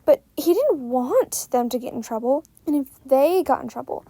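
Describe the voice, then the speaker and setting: A woman reading fan fiction aloud as an expressive story narrator. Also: high voice, moderate pace, dry recording.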